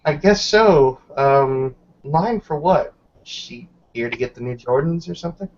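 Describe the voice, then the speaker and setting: A man's voice: a little high, a little slow. Man playing a casual female packmate, muffled audio. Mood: amused.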